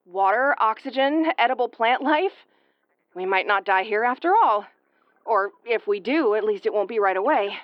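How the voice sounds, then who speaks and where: A woman's voice: on the high side, expressive, normal speed, no room sound, highs cut off, lightly acted. A woman playing a brash, easily flustered starship commander with a tomboyish, brusque, sweary delivery in an audio roleplay. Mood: relieved, hopeful. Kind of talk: audio roleplay acting, spoken to the listener.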